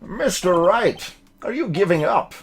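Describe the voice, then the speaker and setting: A man's voice: high-pitched, animated, slight background noise. A man voicing a solemn, formal, slightly puzzled judge in a courtroom video game. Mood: questioning. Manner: formal, deliberate.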